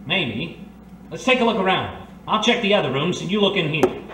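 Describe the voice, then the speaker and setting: A man's voice: sits high, sing-song, moderate pace. A man playing a streetwise, take-charge private eye in a detective audio drama, speaking in clipped phrases. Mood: alert, searching. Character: decisive male private eye, reformed thief, streetwise. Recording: echoey, distant, noisy recording.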